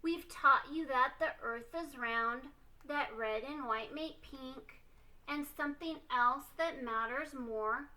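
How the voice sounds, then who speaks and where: A reader puts on a spirited woman schoolteacher from a storybook. She is emphatic and encouraging, with a very high, bright voice. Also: takes it slowly, recorded from a distance, heavily acted, poor audio. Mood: reassuring.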